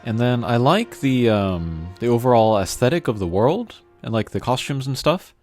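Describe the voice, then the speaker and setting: A man's voice: medium pitch, animated, moderate pace, darkish tone. A man casually commentating as he plays a video game, rambling and opinionated in an informal way. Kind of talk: casual let's-play commentary. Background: faint game music.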